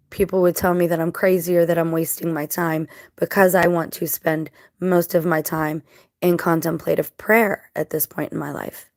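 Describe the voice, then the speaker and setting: A woman speaks in a fairly low, scratchy voice, a little dry-mouthed after crying. She talks casually and earnestly to her viewers, halting as she thinks things through. The sound is close and dry, with little room around it. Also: no echo. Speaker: vlogger.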